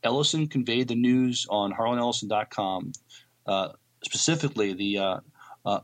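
A man's voice: mid-range voice, moderate pace. A man co-hosting a podcast, reading news items aloud and adding casual comment. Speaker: podcast co-host.

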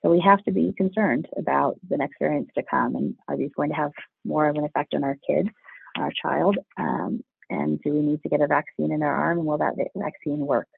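A pediatrician answering interview questions with a hoarse, husky voice, earnest and explanatory. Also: male voice, fairly high pitch, steady tone, brisk, no room sound. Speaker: pediatrician guest. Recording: tinny phone line, dry.

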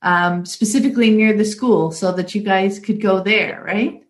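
A woman's voice: mid-range voice, moderate pace. A woman in the role of interviewer, talking in a casual conversational way.

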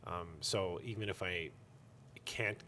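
A man's voice: deep voice, fairly even intonation, slow, sharp tone, a little hiss or hum. A man speaking as a guest in a casual interview, candid and jokey, with emphatic and occasionally crude phrasing. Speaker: interview guest, comic book store owner.

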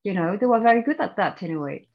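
A woman's voice: mid-range voice, lively intonation, fast, muffled recording. A middle-aged woman with a French accent, a guest coach explaining her ideas thoughtfully in a podcast interview, often restarting her sentences. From France.

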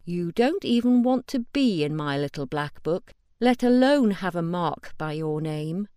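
A woman's voice: medium pitch, lively intonation, a little slow, a little mellow, clean tone, no room sound, studio quality, natural voice. A woman voicing a menacing middle-aged female assassin, clipped and threatening.

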